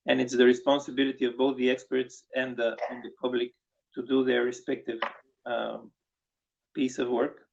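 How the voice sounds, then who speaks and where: A man from Bulgaria speaks as a guest on an online panel, his voice gravelly with vocal fry. He explains in a measured, methodical way, often restarting his sentences. The sound is dull and lo-fi, like an internet call. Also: medium pitch, flat delivery.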